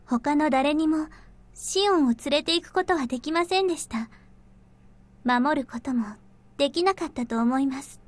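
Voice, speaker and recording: Lady voicing sweet meek young maid in a visual novel, high and quick, music behind. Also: close to an everyday voice. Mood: gentle, reassuring. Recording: game audio with music underneath.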